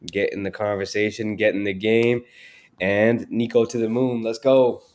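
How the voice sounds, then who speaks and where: A man's voice: lowish voice, fairly even intonation, moderate pace, a little mellow. A man on a casual livestream show, rallying listeners in a hyped way.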